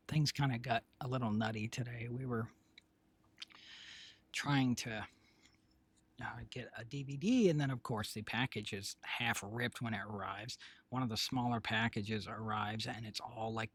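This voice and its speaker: A man with a raspy, husky voice, hoarse today, chatting casually on a live stream. He rambles along with lots of fillers and restarts, at a mid pitch. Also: unhurried, fairly bright tone. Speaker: streamer and developer.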